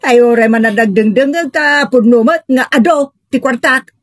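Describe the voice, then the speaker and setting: A woman playing a feisty village woman, colloquial and expressive, in an audio comedy drama. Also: sits high, moderate pace, no echo, studio quality. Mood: boastful. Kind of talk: Ilocano radio-style comedy drama acting.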